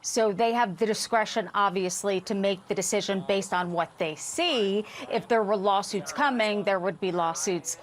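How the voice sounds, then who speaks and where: A woman's voice: medium pitch, moderate pace, few pauses, slight background noise. Woman hosting a TV news panel. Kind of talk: broadcast news discussion.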